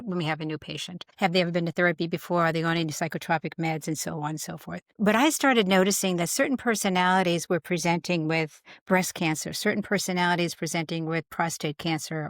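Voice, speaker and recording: A woman's voice: lowish voice, no echo, studio quality. An older woman with a slight Boston accent, candidly telling stories as an interview guest. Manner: candid, storytelling, quick asides. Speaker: guest, integrative medicine coach. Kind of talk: casual interview chat.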